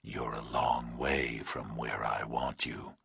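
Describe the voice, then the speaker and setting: A menacing American kidnapper on the phone, as a male narrator puts him on, speaking in an extremely deep, gravelly whisper. He is patient and in command, stretching out every word with cold calm in a thriller audiobook. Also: no echo, full character voice. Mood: calm menace. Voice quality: slow, deep, gritty, whispery.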